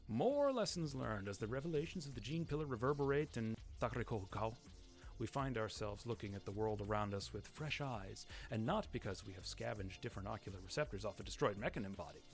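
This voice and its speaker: A man voicing a proud orator of a robot people in a game story, formal, grandiose and declamatory. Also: mid-range voice. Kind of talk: dramatic reading of game story text.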